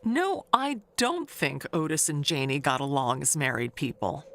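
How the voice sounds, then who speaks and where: A woman playing a chatty, nosy older neighbor in an audio mystery monologue, gossiping in a confiding, halting way with sly wistfulness. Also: low-pitched, wildly swooping pitch, fairly bright tone, a little hiss or hum, full character voice.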